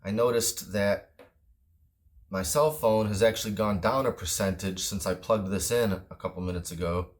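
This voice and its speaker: A man with a low-pitched voice talks through a product review casually. His delivery is offhand and plain-spoken, with some hesitation as he goes. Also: normal speed. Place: indoors at a wooden table.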